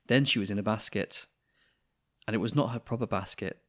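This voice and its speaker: A man reading a children's picture book aloud in an expressive storytelling style. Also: on the low side, brisk.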